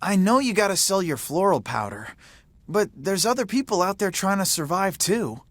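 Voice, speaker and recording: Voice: mid-range voice, sing-song, normal speed, no echo. An actor playing a scrappy, good-natured teenage boy in a video game dub, talking in a casual, slangy, straight-shooting way. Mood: earnest.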